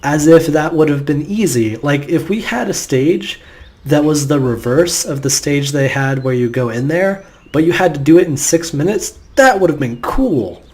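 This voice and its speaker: A man's voice: a little high, normal speed, darkish tone. A man giving casual, rambling, nitpicking let's play commentary. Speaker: gameplay commentator. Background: game music.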